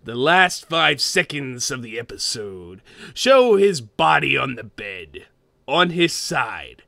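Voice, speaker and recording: A man voicing a greedy, grumpy cartoon crab boss who reads a horror story in a theatrical, expressive way. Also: mid-range voice, slow pace, gravelly, no room sound, full character voice. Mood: dramatic, ominous. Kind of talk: dramatic creepypasta reading.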